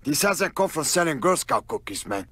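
An actor playing a brash, menacing Cuban gangster boss in a video game, with a cocky, profane swagger. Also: male voice, fairly high pitch, big pitch swings, moderate pace, a bit bright. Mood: sly, needling. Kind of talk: video game cutscene acting.

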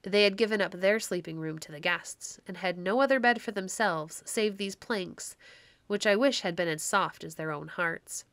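A woman's voice: medium pitch, moderate pace, a bit bright, no echo. Woman narrating a storybook, dry sound. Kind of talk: scripted read-aloud narration of a classic tale.

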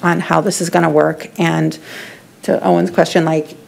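A woman's voice: low-pitched, little pitch movement, moderate pace, gravelly, darkish tone. A woman on a school board asking for more detail in a public meeting, a little hesitant.

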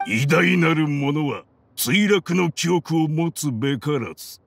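Proud middle-aged desert commander, game acting, grave, raised pitch, creaky voice. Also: male voice, expressive, takes it slowly, faint music behind, full character voice. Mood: grave, resigned. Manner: grave, dramatic, defiant. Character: grizzled, proud, resolute desert militia leader.

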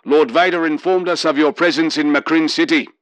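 A man voicing a brisk, formal male soldier commander in an audiobook. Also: on the high side, steady tone, no room sound, voice pushed up, lightly acted.

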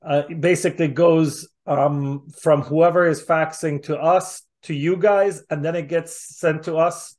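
A man's voice: a little high, steady tone, measured pace, hardly any pauses, a little mellow. A middle-aged man hosting a casual interview over a video call. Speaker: host, interviewer. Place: indoor room on a video call. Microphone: video call microphone.

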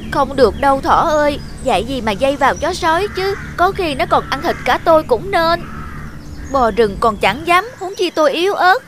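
A woman's voice: sits high, background noise. A woman voicing a timid little cartoon animal. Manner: nervous, excuse-making. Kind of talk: cartoon voice acting. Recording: music playing under voice.

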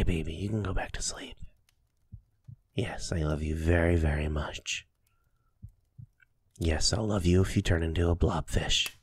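A doting young boyfriend, played by a man, murmurs in an intimate ASMR roleplay. His voice is low and husky, close and dry. He is soft and affectionate, with a playful teasing streak. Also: no echo, exaggerated voice. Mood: cozy, affectionate.

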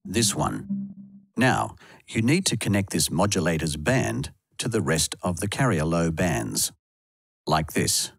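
A man reading scripted instructional narration for a software tutorial, close to the microphone. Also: low voice, sing-song, a little slow, vocal fry, breathy edge, dry recording.

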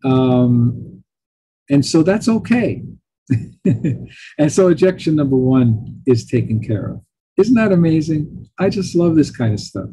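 Rough-voiced man lecturing, Italian native. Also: fairly high pitch, measured pace, pauses often, poor audio. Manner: lively, thinks aloud with fillers and asides. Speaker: professor. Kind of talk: chatty lecture on a text.

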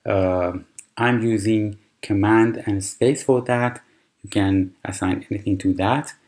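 Slow, low-voiced man explaining software. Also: fairly even intonation. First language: Persian. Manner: unhurried, explanatory, with frequent fillers and restarts. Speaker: tutorial presenter. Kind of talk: screen-recorded software tutorial.

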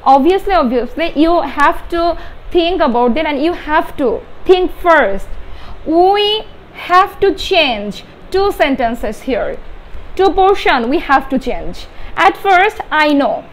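A young adult Bengali-speaking woman with a Bangladeshi accent, explaining grammar step by step as a teacher, halting and repeating key words with emphasis. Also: high voice, rough recording. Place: small indoor room with whiteboard. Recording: steady background room noise.

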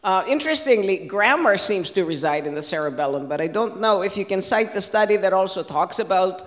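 A man's voice: sits high, animated, brisk, a bit bright. A man in the audience challenging the speaker, correcting him with technical terms. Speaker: audience member asking a question. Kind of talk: conference Q&A. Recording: echoey lecture hall.

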